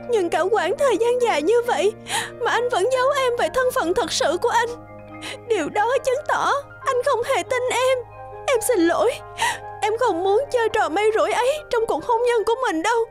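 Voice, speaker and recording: High-voiced, sincere young sweetheart. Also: female voice. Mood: hurt, tearful. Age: young adult. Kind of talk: cartoon voice acting.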